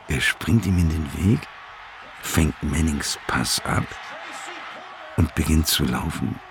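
An actor playing an excited, proud New Orleans football fan in a radio drama monologue, telling it with drawn-out emphasis. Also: male voice, low-pitched, creaky, a little hiss or hum, full character voice.

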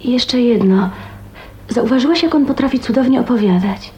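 An actress playing a kind, spirited woman of twenty-nine in a radio drama, earnest, chatty and expressive. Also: fairly high pitch, moderate pace, slight background noise, natural voice. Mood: enchanted. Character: kind, spirited 29-year-old woman, dreamy and plain-spoken. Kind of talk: Polish radio drama acting.

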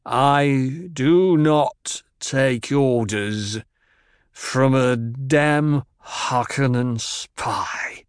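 A man's voice: mid-range voice, clean tone, no room sound, studio quality. A man doing a drunk, defiant swordmaster. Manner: slow, slurred, deliberate enunciation.

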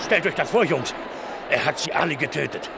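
An actor playing a rowdy Roman arena attendant in a radio play, calling out in excitement. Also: male voice, a little high, fairly quick, vocal fry. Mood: excited. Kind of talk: classic German radio play acting. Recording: noisy background behind the voice.

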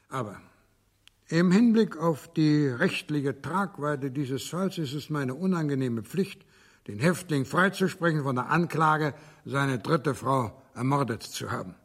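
An actor playing a stern English judge in a radio drama, delivering a ruling in a formal, deliberate, authoritative manner. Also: male voice, on the high side, husky, exaggerated voice. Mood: solemn, reluctant.

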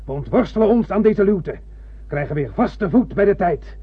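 An actor playing an imperious, unyielding spaceship commander in a radio drama, scornful and theatrical. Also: male voice, sits high, animated, moderate pace, dry recording. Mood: resolute. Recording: husky, tinny phone-like old radio recording.

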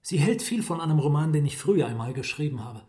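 Broken, resigned writer male actor for radio play: fairly high voice, subdued and weary. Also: expressive, fast talker, dark tone, natural voice. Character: sick, broken, gloomy writer, gently resigned.

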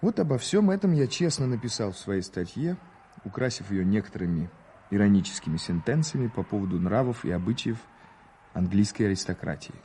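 An actor playing an eager, ironic American newspaper correspondent in a radio play, theatrically formal and wry. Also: male voice, lowish voice, expressive, brisk, mellow, slight background noise, close to an everyday voice. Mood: wry, exasperated. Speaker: actor narrating and playing a reporter. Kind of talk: radio play storytelling and dialogue.

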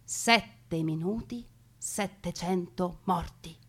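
A woman storyteller giving theatrical scripted narration, expressive and full of conversational asides. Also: lowish voice, slow pace, slightly rough. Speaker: storyteller narrating grandparents' history.

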